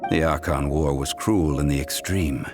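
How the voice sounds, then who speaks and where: A man voicing an ancient, wise god in human form, speaking in a calm, formal, measured way in a game cutscene. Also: extremely low pitch. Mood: solemn. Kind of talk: video game voice acting. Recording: game cutscene with loud music.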